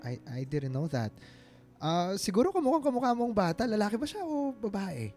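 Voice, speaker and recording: Radio drama casting: lovestruck Filipino ex, late 20s, lightish mellow voice, hesitant delivery. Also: male voice, high-pitched, very animated, normal speed. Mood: earnest, smitten. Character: earnest, smitten ex-boyfriend of twenty-nine, gently probing. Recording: music bed behind the voice.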